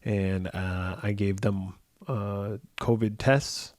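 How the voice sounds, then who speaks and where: A man in his forties with a slightly hoarse, raspy voice, still worn from illness. He co-hosts a podcast in loose, rambling chat full of fillers and restarts. His voice sits fairly low and the recording is close and dry. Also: steady tone, takes it slowly, darkish tone, dry recording. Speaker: podcast co-host. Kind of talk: casual chat.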